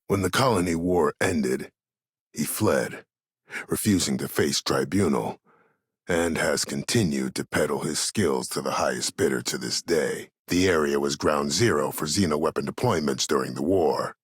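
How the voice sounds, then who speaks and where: An actor playing a cold, proud, older former war admiral in a video game, speaking formally and with measured authority in a gravelly, husky voice. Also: male voice, rumbling low, no room sound, studio quality. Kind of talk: dramatic video game dialogue.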